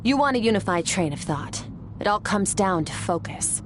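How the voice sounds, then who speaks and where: An actress playing a calm, firm female mentor giving instruction in a game. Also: medium pitch, normal speed, heavy background noise, lightly acted. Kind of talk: game voice acting.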